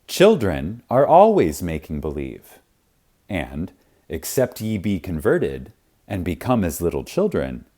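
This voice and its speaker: A man narrating a spiritual audiobook in a reverent, emphatic manner, with deliberate pauses. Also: sits low, wildly swooping pitch, mellow. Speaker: audiobook reader. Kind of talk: scripted narration of a spiritual self-help audiobook.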